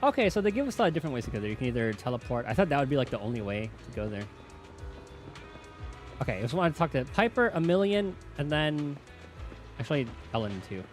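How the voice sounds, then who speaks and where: A man's voice: mid-range voice, very animated, quick pace. Man casually streaming a game over music. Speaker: streamer playing a video game. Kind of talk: casual game commentary, reading dialogue aloud.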